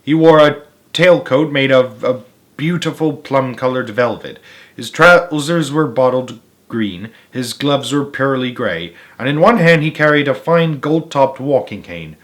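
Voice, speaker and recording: A man narrating a children's novel aloud as a storyteller, expressive and emphatic, sometimes halting and repeating a phrase. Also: medium pitch.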